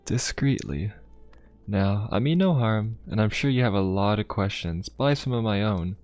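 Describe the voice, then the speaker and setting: A man playing a courteous, inquisitive young pope of a steampunk fantasy world in an ASMR roleplay, speaking politely, formally and in a gently musing way. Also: on the low side, lively intonation, moderate pace, no echo, close to an everyday voice. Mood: reassuring, curious. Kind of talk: ASMR roleplay addressed to the listener. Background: music.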